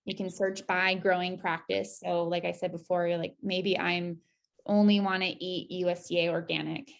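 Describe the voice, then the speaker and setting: A woman with a slightly low, noticeably creaky voice walks listeners through a website demo. Her delivery is casual and conversational, with informal, upbeat asides as she explains each step. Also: level tone, normal speed. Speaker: presenter giving a website demo. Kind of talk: casual screen-share walkthrough.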